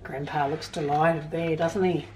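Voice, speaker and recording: A middle-aged Australian woman presenting storytime, reading and explaining to young children in an expressive, emphatic way from a small room at home. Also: low-pitched, unhurried, distant mic, noisy background.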